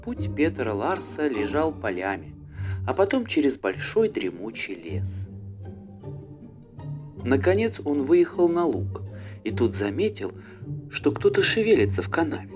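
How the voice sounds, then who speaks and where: A man reading a fairy tale as an audiobook narrator, in an expressive storytelling style. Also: medium pitch, unhurried, pauses often. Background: faint music.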